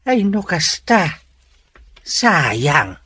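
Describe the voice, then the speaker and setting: Slow husky middle-aged village guy, man playing him, easily shocked, sharp edge, radio drama. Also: on the high side, sing-song, dry recording, full character voice. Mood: surprised.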